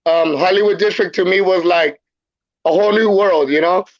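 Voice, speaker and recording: A man's voice: high-pitched, moderate pace. A man from El Salvador, a podcast guest telling his life story casually, using street slang and repeating phrases. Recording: muffled lo-fi sound.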